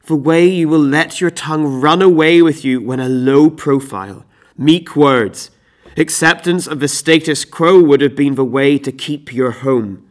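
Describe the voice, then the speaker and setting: Man acting a loud, scornful husband in tragedy. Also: fairly high pitch, measured pace, pitched above the performer's own voice, close to an everyday voice. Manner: loud, forceful, scolding. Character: exasperated, scornful husband Jason berating Medea. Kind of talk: theatrical classical drama monologue.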